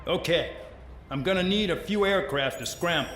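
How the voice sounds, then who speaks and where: An actor playing a cynical, businesslike military base commander in a video game. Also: male voice, high voice, normal speed, a bit bright. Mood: cynical, brisk. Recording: echoey room with faint noise.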